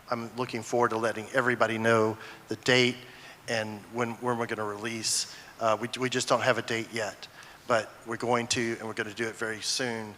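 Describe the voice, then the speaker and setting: Bright-voiced man speaking in an echoey hall. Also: mid-range voice, slight background noise. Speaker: film presenter at a gala. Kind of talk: informal stage talk to an audience.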